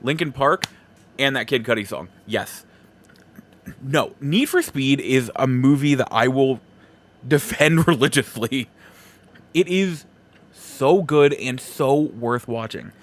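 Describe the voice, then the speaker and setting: A man's voice: mid-range voice, lively intonation, slow, long pauses. A man hosting a solo podcast, giving opinions in an informal, conversational and emphatic way. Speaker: podcast host.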